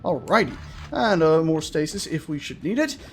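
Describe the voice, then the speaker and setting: Animated higher-voiced man doing game commentary. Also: normal speed, soft background music. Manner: jokey, chatty asides to himself and the game's characters; jumpy, excitable, prone to swearing shouts. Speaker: gaming video host. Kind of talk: casual let's-play commentary of a horror game.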